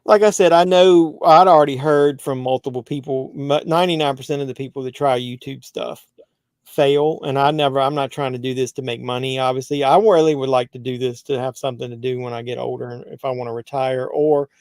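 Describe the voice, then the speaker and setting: A man in his fifties hosting a casual live movie chat, relaxed, reading viewer comments aloud. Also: mid-range voice, brisk, smooth, no room sound, studio quality. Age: 50s. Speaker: YouTube movie channel host.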